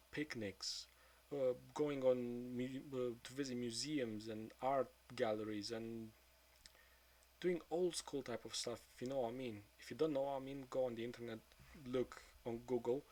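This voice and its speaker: Husky, flat-voiced young man. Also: mid-range voice. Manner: subdued, trailing off into quieter mutters; frank, with frequent swearing. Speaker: vlogger. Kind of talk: confessional monologue.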